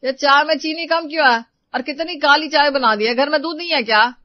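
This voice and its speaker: A woman's voice: high-pitched, brisk, dry recording, lo-fi sound, pitched above the performer's own voice, lightly acted. A woman playing a self-assured, stingy husband who lectures and complains in a domestic moral story. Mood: complaining. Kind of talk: Hindi moral-story character dialogue.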